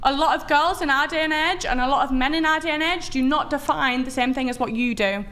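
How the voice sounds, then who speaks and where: A British woman debating on a panel, combative and quick to object when interrupted. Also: sits high, fairly bright tone. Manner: combative, keeps insisting she is being interrupted. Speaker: panel guest.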